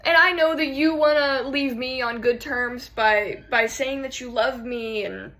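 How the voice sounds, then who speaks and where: A woman's voice: high voice, fairly even intonation, measured pace, a bit bright. A woman acting a theatrical monologue as a rattled, unravelling jilted lover, stammering and repeating her words as she pleads. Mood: hurt, defiant.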